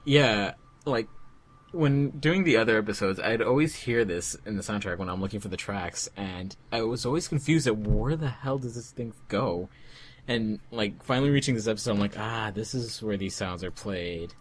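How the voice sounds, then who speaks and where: A man's voice: on the low side, moderate pace. A man chatting casually as a fandub editor on a group commentary track.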